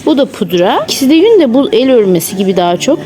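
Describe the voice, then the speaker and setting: A woman's voice: on the high side, sing-song, fast. A woman chatting casually as a mother on a shopping vlog, coaxing her child playfully with lots of questions. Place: inside a busy clothing shop. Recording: store music behind the voice. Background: loud store music.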